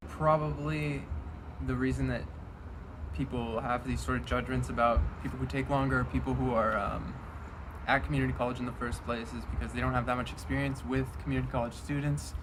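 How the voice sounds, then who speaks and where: Young man in his twenties, relaxed. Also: medium pitch, brisk, noisy background. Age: 20s. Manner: thoughtful, loose, with "like" and "you know". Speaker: interviewee. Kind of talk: casual reflective talk.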